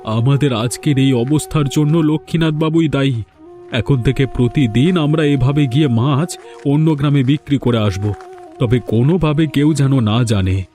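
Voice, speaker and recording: Mellow-voiced, fed-up village fisherman played by a man. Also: mid-range voice, normal speed, faint music behind, natural voice. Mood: resolved, indignant. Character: put-upon village fisherman, fed up, determined. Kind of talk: animated folk-tale dialogue.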